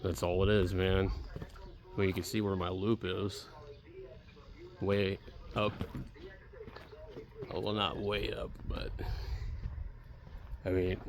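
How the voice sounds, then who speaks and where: A man's voice: deep voice, little pitch movement, slow pace, long pauses. An American man talking casually and plainly as a ham radio operator making a demonstration video in a backyard. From Arizona, United States. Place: outdoor backyard. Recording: noisy outdoor recording with radio static.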